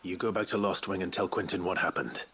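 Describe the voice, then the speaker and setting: An actor playing a stoic, determined young warrior in a video game, terse and restrained. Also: male voice, low-pitched, fast, pushed and bright, husky, heavily acted. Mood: businesslike, steady. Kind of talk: dramatic video game acting. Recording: music behind the voice.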